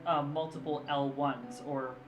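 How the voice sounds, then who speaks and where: A man's voice: fairly high pitch, steady tone, a little slow, background noise. A man hosting a livestream in casual chat, who laughs often, teases his guest and explains things. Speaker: streamer, host. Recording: echoey room. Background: guitar music.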